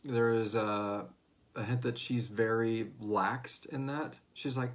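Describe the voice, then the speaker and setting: A man's voice: on the low side, steady tone, slow pace. Casual man hosting a fan Q&A. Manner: informal, acts out character lines in passing. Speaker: host and writer of a serialized audio fiction series. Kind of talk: casual Q&A discussion, reading out listener questions.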